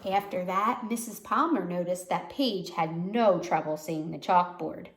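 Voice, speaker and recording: A woman reading a children's storybook aloud like a teacher, pausing to explain words and put questions to listeners. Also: lowish voice. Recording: roomy, echoey sound.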